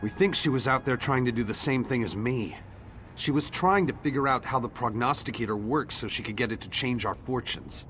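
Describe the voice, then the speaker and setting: A man acts out an earnest young townsman from a fantasy video game, hopeful and grateful, set on defying fate. His voice sits at a middling pitch and has a declamatory streak. Music plays softly behind him. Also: a little fast, natural voice. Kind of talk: scripted video game dialogue. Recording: background music under the voice.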